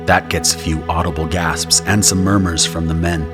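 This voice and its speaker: A man delivers scripted first-person narration for an audio drama. His voice is very low, and music runs clearly underneath it. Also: little pitch movement, moderate pace.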